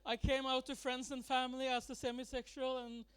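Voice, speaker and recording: A man in his thirties from Norway doing a deadpan stand-up set, with pauses for laughs. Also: very high voice, clean tone. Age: 30s. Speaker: stand-up comedian. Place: comedy club stage with a live audience.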